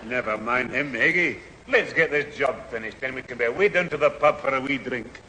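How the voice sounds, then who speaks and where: A man's voice: mid-range voice, a little fast, bright, forceful tone, some chatter behind, close to an everyday voice. A man playing an exasperated, scolding old Scottish grandfather in a radio sitcom. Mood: genial. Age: older. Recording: hissy old radio recording, slight hall.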